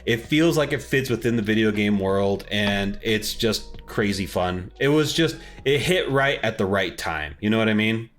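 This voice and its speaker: A man's voice: lowish voice, expressive, normal speed, few pauses, a bit bright. A man co-hosting a podcast, chatting casually and enthusiastically in slangy, conversational language. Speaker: podcast co-host. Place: recorded remotely, separate from co-host. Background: background music.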